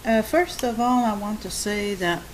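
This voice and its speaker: A woman with a soft voice and a non-native English accent, hosting a spoken monologue for her subscribers, talking in a halting way with many fillers and restarts. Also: mid-range voice, a little hiss or hum, low-quality recording. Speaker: channel host.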